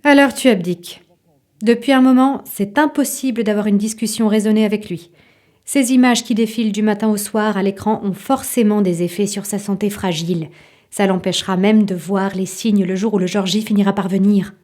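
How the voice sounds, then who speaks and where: Female narrator, dark mellow tone, literary second-person audio drama storytelling. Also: medium pitch, steady tone, moderate pace.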